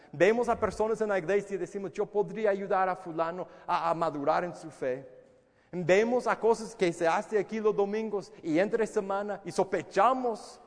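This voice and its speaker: A man's voice: sits high, in a large hall. A man preaching a sermon in Spanish, a language he learned as an adult, earnest and emphatic, often repeating words and restarting phrases. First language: English. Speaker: pastor.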